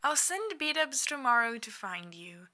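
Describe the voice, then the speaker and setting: A woman voicing an upbeat, reassuring man in a story, speaking softly with a sing-song excitement. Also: a little high, measured pace, voice pushed up, exaggerated voice. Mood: breezy, excited.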